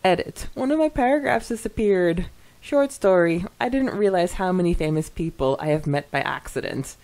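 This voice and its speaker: A woman with a slightly low voice talks casually as a podcast co-host. She sounds chatty and informal, as if going through comments with a friend. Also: lively intonation, moderate pace, darkish tone. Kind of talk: casual podcast chat mixed with reading listener comments aloud.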